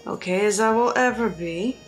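Weary, creaky-voiced guarded woman played by a woman. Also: mid-range voice, soft background music, close to an everyday voice, low-quality recording. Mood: weary, resigned. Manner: halting, sighing, dry sarcasm. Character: weary, guarded, sardonic woman patient in custody. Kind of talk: tabletop roleplay in character.

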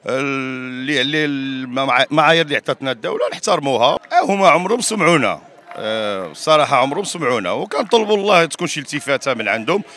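A man's voice: medium pitch, expressive, fast, pushed and bright. A middle-aged man making an appeal in a street interview. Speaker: interviewee, event caterer. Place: open square outdoors, crowd behind. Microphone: handheld reporter microphone.

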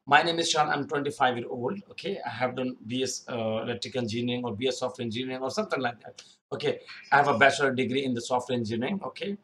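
A man's voice: medium pitch, a bit bright. Young male career-tips host, loose and unscripted, lots of fillers and restarts, webcam sound in a small room. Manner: rambling, repeats himself, many fillers. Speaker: youtube career advice host. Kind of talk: unscripted screen-share tutorial. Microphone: webcam in corner of screen recording.

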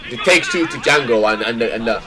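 A man commentating on an amateur football match from the touchline, calling the play excitedly and repeating himself. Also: fast talker, low-quality recording. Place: outdoors on the touchline. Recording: loud crowd on an outdoor pitch.

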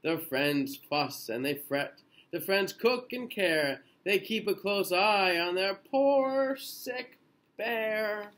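A man in his thirties reading a children's picture book aloud in an expressive, sing-song way with drawn-out phrases. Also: high voice. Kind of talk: children's storybook read-aloud. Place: small indoor room.

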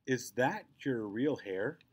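A man's voice: medium pitch, animated, slow, a little mellow. A man voicing a shy, blunt, curious young boy in a storybook, speaking tersely.

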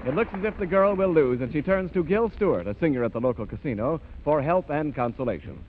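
A man's voice: a little high, lively intonation, quick pace. A man narrating a story as an announcer in scripted 1930s radio style. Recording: noisy, muffled 1930s radio recording.

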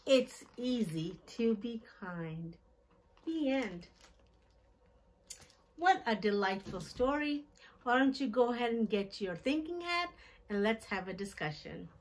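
A middle-aged woman hosting a children's storytime, reading a picture book aloud in simple, deliberate phrases and putting questions to her young listeners. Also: on the high side, expressive. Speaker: children's storytime host and reader. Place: small decorated indoor room.

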